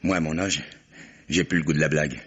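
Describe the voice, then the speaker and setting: An ageing swindler turned killer in a radio crime play. His voice is very deep and husky. He talks curtly, with cold sarcasm and open threat. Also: fairly even intonation, a little fast, muffled recording. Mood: cold menace. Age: middle-aged. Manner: curt, sardonic, threatening. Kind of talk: French radio crime drama acting.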